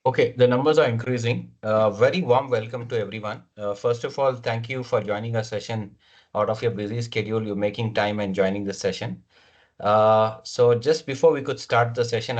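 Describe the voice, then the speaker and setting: A man's voice: fairly low pitch, brisk. Hesitant Indian man hosting a webinar. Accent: Indian English. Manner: hesitant, many fillers. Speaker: webinar host. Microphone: computer mic on video call. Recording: dull lo-fi video call audio.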